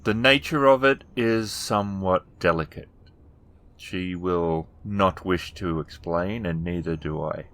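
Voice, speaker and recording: A man playing a slow, formal old samurai lord. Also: deep voice, a bit bright. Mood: grave, guarded. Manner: terse, formal, measured. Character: shrewd, cold sixty-year-old samurai lord, discreet and formal.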